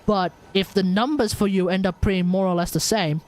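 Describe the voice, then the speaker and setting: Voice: medium pitch, fairly even intonation, normal speed, slight background noise. A game streamer chatting casually and rambling through builds in an incredulous, sweary way. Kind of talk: casual livestream chat about game builds.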